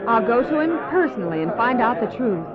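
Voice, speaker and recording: An actress playing an earnest, firm believing daughter, sincere and measured, in a Bible radio drama. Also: female voice, fairly high pitch, very animated. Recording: muffled tape, loud crowd behind.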